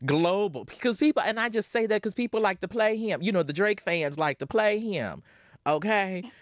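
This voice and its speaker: A man's voice: high-pitched, expressive, moderate pace, no room sound, clean studio sound. Sassy, emphatic man guesting on a podcast. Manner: sassy, emphatic, tags lines with "okay?". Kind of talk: casual chat.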